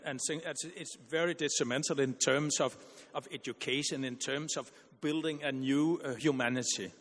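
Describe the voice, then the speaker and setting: A man giving an earnest, argumentative conference panel speech, halting with frequent restarts and repeated words. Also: on the high side, fairly bright tone, long reverb tail.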